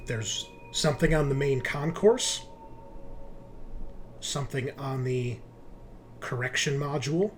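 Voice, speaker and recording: A man running a tabletop roleplaying game, narrating casually in a halting, searching, informal way. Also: mid-range voice. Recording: clearly noisy recording with room ambience.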